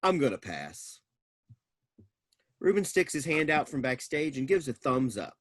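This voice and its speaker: A man's voice: fairly high pitch, fairly quick, a bit bright, slight background noise. A man reading stage directions as scripted narration.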